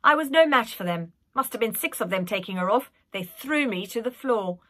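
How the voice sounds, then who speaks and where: A plump old nanny from a children's storybook, acted out by a woman, cheery and bossy. She fusses and scolds fondly in a forceful, swooping voice with a slightly husky edge. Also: fairly high pitch, lively intonation, moderate pace. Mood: indignant, plucky. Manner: fussing, emphatic, scolding affectionately.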